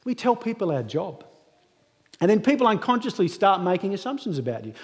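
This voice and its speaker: A man's voice: high voice, big pitch swings, brisk, darkish tone. A man in his thirties giving a funny, self-deprecating motivational speech at a graduation ceremony. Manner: humorous self-deprecating storytelling. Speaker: guest speaker. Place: large hall at a graduation ceremony. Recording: echoey hall reverb.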